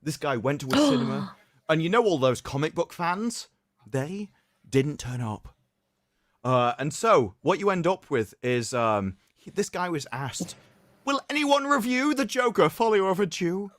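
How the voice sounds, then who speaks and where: A man's voice: high voice, sing-song, measured pace, dry recording. A man hosting a livestream, doing a mock-dramatic reading with commentary in a theatrical, sarcastic, mock-solemn manner. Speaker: livestream host.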